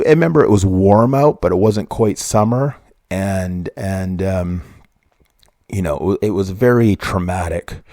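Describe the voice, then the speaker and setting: Deep-voiced man in his fifties recalling childhood. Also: dark tone, dry recording, studio quality. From Canada. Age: 50s. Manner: rambling, with restarts and self-corrections. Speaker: podcast host. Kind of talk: personal true crime storytelling.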